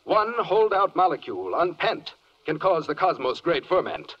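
A man playing a flustered, muddled, excitable official in a 1940s radio comedy, blustering and tripping over words. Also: a little high, moderate pace, slight background noise. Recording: dull 1940s radio recording.

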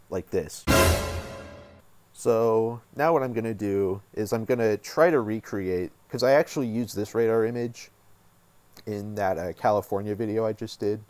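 A man hosting a casual software tutorial, talking informally and thinking aloud as he works. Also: on the low side. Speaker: tutorial host.

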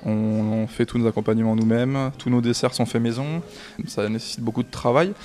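A man in his thirties talks about his restaurant into a handheld reporter mic, indoors. His voice sits at an ordinary middle pitch. He hesitates often, filling pauses and restarting phrases. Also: steady tone, a little mellow. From France. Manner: hesitant, many fillers and restarts. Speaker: restaurant manager. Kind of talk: on-camera interview.

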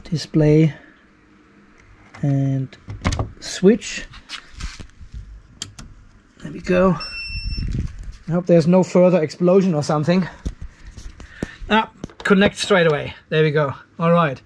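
A man's voice: on the high side, takes it slowly, pauses often, a little mellow. A man in his fifties narrating a hands-on DIY job in a casual, informal way, thinking aloud as he works. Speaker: DIY video host. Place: garage workshop with metal roof. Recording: some background noise in workshop.

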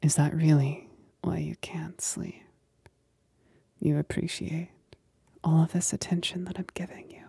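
A woman voicing a possessive demon companion in a whispered ASMR roleplay, breathy and husky, teasing and coolly commanding with condescending sweetness. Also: on the low side, mellow, no echo, exaggerated voice. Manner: slow, deliberate, condescendingly sweet.

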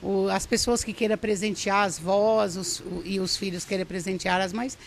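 A woman's voice: mid-range voice, steady tone, moderate pace, gravelly, slight background noise. A middle-aged woman who organizes a craft association, interviewed for TV news at an indoor craft fair on a handheld mic, talking in a rambling way with many restarts. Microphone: handheld reporter mic.

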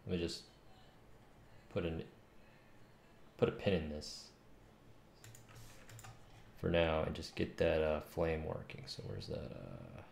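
A man with a gravelly, very low voice talks casually to himself on a livestream. He mutters through his thoughts in a small, echoey home room. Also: very animated, takes it slowly, pauses often, darkish tone. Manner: muttering, thinking aloud. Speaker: game developer streaming. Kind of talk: casual think-aloud livestream while coding. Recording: roomy, faint noise, keyboard clicks.

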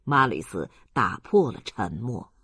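Slow, expressive audiobook narrator. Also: mid-range voice, slightly rough, no echo, clean studio sound. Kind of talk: scripted novel narration, storytelling.

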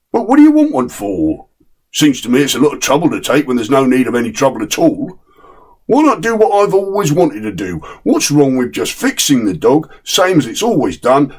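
An older man voicing a simple-minded male crook, pitched higher than his own voice. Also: a little mellow, husky.

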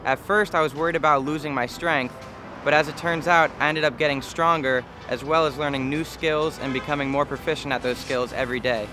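20s male coach, slightly high voice, plain testimonial over music. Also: steady tone, fairly quick. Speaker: assistant coach. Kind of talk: promotional testimonial. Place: indoor gym by open garage door.

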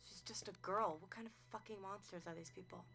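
An American actress playing an edgy, anxious young woman investigator in a video game scene, hushed and uneasy. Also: medium pitch, brisk, gravelly, faint music behind. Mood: appalled. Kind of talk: naturalistic video game cutscene acting.

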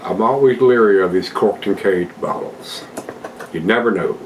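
A man's voice: fairly low pitch, moderate pace, slight background noise. Folksy older man reviewing beer. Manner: chatty, folksy, talks to viewers as guys. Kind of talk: casual solo review. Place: home room with a desk and plants, indoors.

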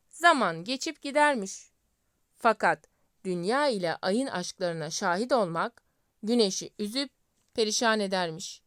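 A woman storyteller narrating a scripted fairy tale in deliberate phrases with pauses. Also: medium pitch, a bit bright, smooth, no room sound, clean studio sound.

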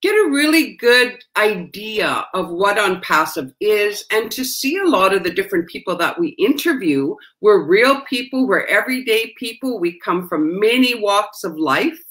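A woman's voice: mid-range voice, expressive, normal speed, hardly any pauses, fairly bright tone. An older woman hosting an interview over a video call, chatty and upbeat. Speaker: host. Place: home room, indoors. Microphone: webcam on video call.

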